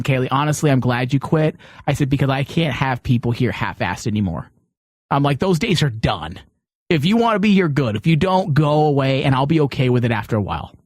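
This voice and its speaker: A man's voice: a little high, darkish tone, dry recording, studio quality. A man hosting a talk show, chatting and telling stories casually in an opinionated way with some casual swearing. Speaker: host. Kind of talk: casual chat, storytelling.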